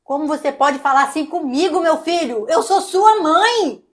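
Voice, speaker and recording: High female voice, woman acting indignant mother, Brazilian accent, domineering, dismissive, domestic drama. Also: fairly quick, close to an everyday voice. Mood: indignant, wounded. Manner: indignant, dismissive, guilt-tripping.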